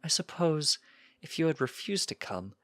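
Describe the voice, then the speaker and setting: A man voicing a gracious, gently amused pony princess in a storybook, calm, formal and measured. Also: fairly high pitch, no echo, voice pushed up.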